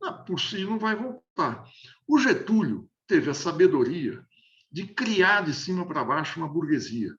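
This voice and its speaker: A man's voice: fairly high pitch, very animated, normal speed, a bit bright, reverberant. Older hesitant São man, lo-fi. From interior of São Paulo state, Brazil. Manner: hesitant, many repeated word restarts. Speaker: interview guest. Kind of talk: explanatory conversational analysis. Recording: dull lo-fi audio.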